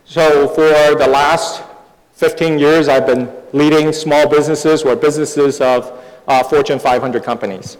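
A middle-aged man from Taiwan who grew up overseas, preaching a sermon in short phrases with pauses for an interpreter. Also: fairly high pitch, steady tone, a little hiss or hum. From born in Taiwan, grew up overseas. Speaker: guest preacher. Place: large reverberant church hall. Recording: echoey hall with long reverb.

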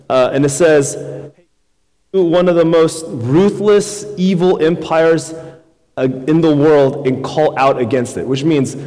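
Casual youth pastor in a reverberant hall. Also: male voice, on the high side. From Houston, Texas. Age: 30s. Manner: relaxed, many fillers, asks the crowd questions. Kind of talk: casual conversational sermon. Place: church sanctuary. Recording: long reverb in a large hall.

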